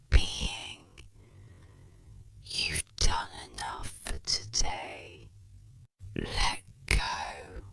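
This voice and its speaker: Very slow breathy whisper. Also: low voice. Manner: soothing, gentle, reassuring. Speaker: ASMR therapist roleplayer. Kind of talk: whispered ASMR guided relaxation.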